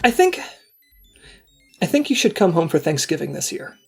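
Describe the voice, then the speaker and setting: A woman's voice: lowish voice, moderate pace, gravelly, slightly rough, soft background music, exaggerated voice. A woman playing an eager, earnest, goofy male secret agent in an audio comedy drama. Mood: sincere. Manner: excitable, earnest.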